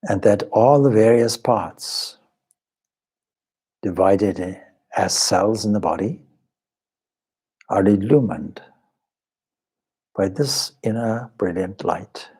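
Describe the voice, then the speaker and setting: A man leading a guided meditation as a teacher, speaking in measured, deliberate short phrases with pauses and a soft, husky voice. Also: fairly low pitch, rough recording. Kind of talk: guided meditation, slow spoken instruction.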